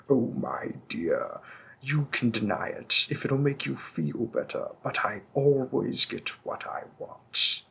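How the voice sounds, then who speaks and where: A man voicing a possessive, seductive male demon king in an audio roleplay, speaking softly and often in a whisper, slow and teasing. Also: mid-range voice, expressive, gravelly, slightly rough, exaggerated voice.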